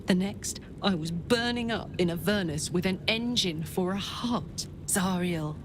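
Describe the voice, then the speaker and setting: An actress playing a brash but warm-hearted young warrior woman with a painful past in a video game, speaking in an informal, emotive, heartfelt way. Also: on the low side, a little slow, noisy background, natural voice. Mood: wistful, hurt. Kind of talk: video game character acting. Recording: game music behind the voice.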